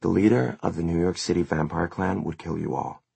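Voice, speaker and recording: Man voicing blunt, irritable teen girl, husky. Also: deep voice, a little fast, warm tone, dry recording, exaggerated voice. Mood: blunt, scornful. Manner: curt, scornful.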